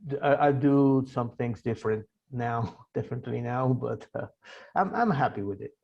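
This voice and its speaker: A man in his sixties from Argentina, a native Spanish speaker, answering interview questions in a halting way with many fillers and restarts. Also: medium pitch, vocal fry, highs cut off. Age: 60s. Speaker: guest author. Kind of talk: casual interview.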